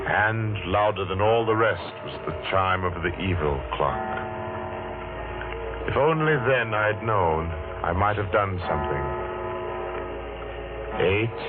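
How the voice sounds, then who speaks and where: An actor playing a haunted man telling of his past, in grave, suspenseful old-time radio narration. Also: low voice. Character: haunted man recounting his dreadful boyhood. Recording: tinny vintage radio with music.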